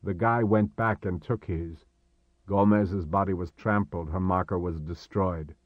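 Man playing a calm, low-voiced male adventurer. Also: no echo, muffled recording. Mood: grim, matter-of-fact. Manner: calm, measured, terse. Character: steady, commanding male adventurer calming companions. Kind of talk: audiobook character dialogue.

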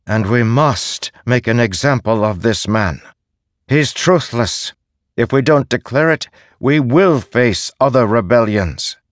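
A man's voice: mid-range voice, lively intonation, slow, no echo, pitched above the performer's own voice. A stern council leader handing down a harsh verdict, as a man puts him on. He speaks firmly and leaves no room to argue. The voice sits close and dry. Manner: firm, judgmental.

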